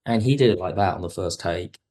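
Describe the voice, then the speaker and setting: Low-voiced man chatting enthusiastically. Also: fairly quick, mellow. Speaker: podcast guest, audio drama writer and director. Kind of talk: casual interview chat.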